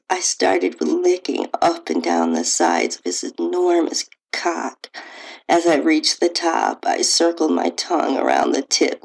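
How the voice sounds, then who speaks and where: Slow, low-voiced woman narrating erotica. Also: creaky, a little mellow, low-quality recording. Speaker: podcast host and author reading own story. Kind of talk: first-person erotic story narration.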